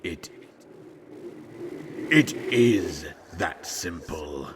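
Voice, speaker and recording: A man voicing a fragmented monster in an audio drama, a menacing yet regretful servant who talks haltingly and ominously. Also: low-pitched, expressive, background noise, exaggerated voice. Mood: somber, ominous. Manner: slow, halting, ominous, speaks of himself as "we". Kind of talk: scripted roleplay audio drama.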